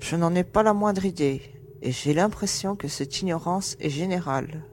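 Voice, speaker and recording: A woman's voice: on the low side, normal speed, a little mellow, a little hiss or hum, close to an everyday voice. Brief: woman voicing a curt, demanding young crewwoman in a sci-fi audio drama. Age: young adult. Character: sharp-tongued young woman crew member.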